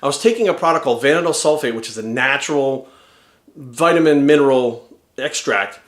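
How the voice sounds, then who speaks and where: A man in his forties giving an energetic, rambling testimonial to camera. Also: mid-range voice, a little fast, echoey room. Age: 40s.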